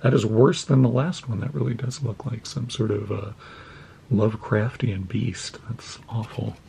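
A man from the United States, slightly hoarse, opening trading cards at his desk at home and narrating each pull with deadpan mock horror. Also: lowish voice, normal speed, a little hiss or hum. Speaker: card-opening channel host. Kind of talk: mock-scared card-opening commentary. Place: home desk with Halloween decor.

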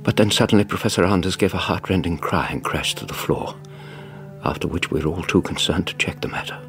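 An actor playing a distinguished, anxious male academic in a radio drama, measured and donnish in speech. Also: low voice, creaky, fairly bright tone, soft background music. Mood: grave, haunted. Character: distinguished, anxious male English academic and ghost-story narrator. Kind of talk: radio drama acting and narration.

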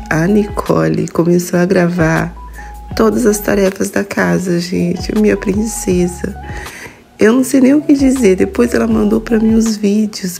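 A woman's voice: medium pitch, creaky, dark tone. A Brazilian woman in her forties narrating a casual vlog in a chatty, confiding way. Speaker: vlogger.

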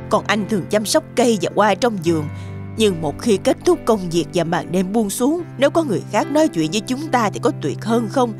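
A sweet old cartoon grandmother, played by a woman, talks with very animated intonation at a medium pitch. She is kindly and expressive, with faint music behind. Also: lightly acted. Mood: wistful. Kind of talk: children's cartoon dub. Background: soft children's music.

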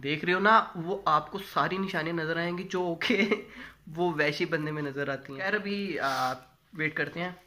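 A man's voice: fairly high pitch, moderate pace, pushed and bright. Chatty young man vlogging on a phone. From Pakistan. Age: young adult. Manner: chatty, fills talk with "thik hai" and "yaar". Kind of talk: casual vlog chat. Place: home rooms, garden and rooftop. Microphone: phone camera held at arm's length.

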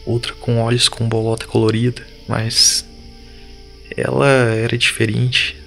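Man playing a gravelly, urgent village boy. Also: medium pitch, a bit bright. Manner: urgent, slangy, halting storytelling. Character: shaken, defiant village boy urging his friends to flee. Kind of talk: audio drama acting.

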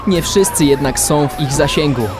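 A man's voice: a little high, moderate pace. A man reading dramatic scripted narration for a children's audio drama. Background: loud music.

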